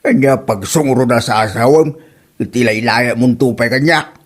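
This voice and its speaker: A man's voice: mid-range voice, moderate pace, lightly acted. A man playing a grumbling, defensive older father and husband in a comedy drama, with an Ilocano accent and a complaining manner. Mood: irritated. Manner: complaining, excitable. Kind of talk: radio-style comedy drama acting.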